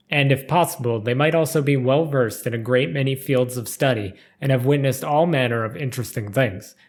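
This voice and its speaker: Man carefully narrating a story. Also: medium pitch.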